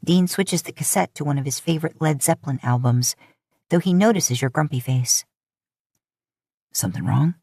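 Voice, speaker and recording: A woman's voice: sits low, fairly quick, dark tone, no echo, studio quality. A woman reading scripted fiction aloud as a podfic narrator.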